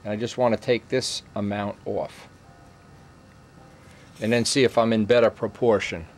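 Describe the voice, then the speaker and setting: A man's voice: lowish voice, steady tone, moderate pace, soft background music. A middle-aged man giving an informal hands-on workshop tutorial, thinking aloud in short, explanatory phrases. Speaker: model airplane builder giving a tutorial. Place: indoor workbench.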